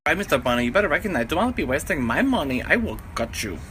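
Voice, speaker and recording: A sassy storybook princess, acted by a man, impatient and bad-tempered. The delivery is forceful and very animated, with some noise in the recording. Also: on the high side, racing. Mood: threatening, fed up.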